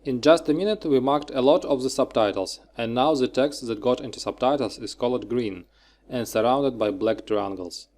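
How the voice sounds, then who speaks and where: A man with a mid-pitched voice narrates a software tutorial. He works from a script and moves step by step through short, clipped instructions. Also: moderate pace.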